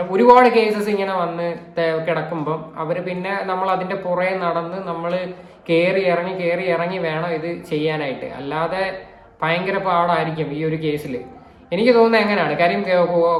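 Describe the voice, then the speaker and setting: A woman with a Kerala accent giving casual reaction commentary as a video commentator. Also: lowish voice. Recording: roomy, echoing indoor sound.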